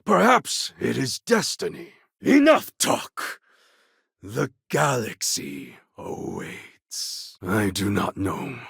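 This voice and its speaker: A man's voice: mid-range voice, huge pitch swings, takes it slowly, a bit bright, no room sound, clean studio sound, full character voice. An actor playing a proud, menacing male warlord in a video game, speaking in a grave, formal and threatening way. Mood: stern. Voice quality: gravelly, husky.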